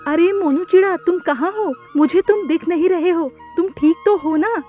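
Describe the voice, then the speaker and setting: A woman plays an anxious mother bird from a children's cartoon. The voice is very high and plaintive, lamenting her troubles. Music plays behind her. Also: moderate pace, dark tone. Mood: worried searching. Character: anxious, tearful mother bird, grateful and proud.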